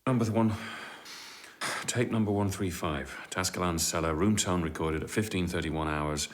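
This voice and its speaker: A worn-out, plain-spoken sound man, as a man plays him in a radio drama, reciting recording details flatly before his patience runs dry. His voice is low-pitched and matter-of-fact. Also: normal speed, noisy background, natural voice. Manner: flat, dry logging voice that turns exasperated. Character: weary, blunt male sound technician, fed up with his boss. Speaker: sound technician logging tapes.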